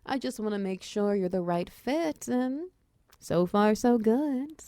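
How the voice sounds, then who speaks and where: A woman voicing a friendly, chatty female minotaur wizard who mentors an apprentice in a roleplay ASMR style, encouraging and gently instructive. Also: a little high, expressive, slow, dry recording. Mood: friendly, explaining.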